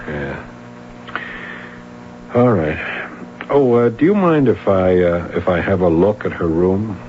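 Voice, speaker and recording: An actor playing a patient, probing police sergeant who speaks laconically, in a 1970s radio drama. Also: male voice, deep voice, lively intonation, takes it slowly, a little hiss or hum. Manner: laconic, probing, mumbled fillers. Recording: lo-fi old radio broadcast.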